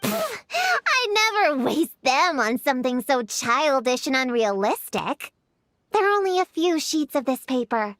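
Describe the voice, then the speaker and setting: A woman's voice: sits high, sing-song, a little slow, fairly bright tone, dry recording, exaggerated voice. A woman playing a bookish, stubborn and self-serious young witch girl in an anime-style video game. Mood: scornful, boastful.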